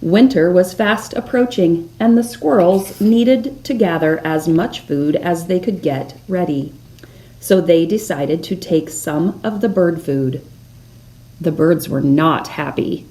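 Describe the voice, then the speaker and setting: A woman storyteller reading a children's picture book aloud expressively, with deliberate dramatic emphasis on key words. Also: deep voice, mellow, rough recording.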